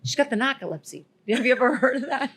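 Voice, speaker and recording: A woman's voice: high voice, fast talker, breathy edge. Giggly woman telling a story. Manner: laughs often, excitable. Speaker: podcast co-host. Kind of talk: casual chat, storytelling.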